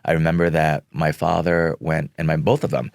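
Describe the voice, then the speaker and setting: Man, middle-aged, from Vietnam, native Vietnamese speaker, very deep, earnest, slow with pauses. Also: flat delivery, creaky, a little mellow, dry recording, studio quality. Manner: slow, earnest, pausing often with fillers. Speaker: guest. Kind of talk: reflective interview talk.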